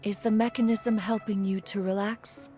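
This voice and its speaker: A woman's voice: mid-range voice, level tone, moderate pace, warm tone, close to an everyday voice. A woman voicing an ancient, aloof female adeptus who speaks in a formal, archaic way. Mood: composed. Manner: archaic formal speech, calls herself "one". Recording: faint music underneath.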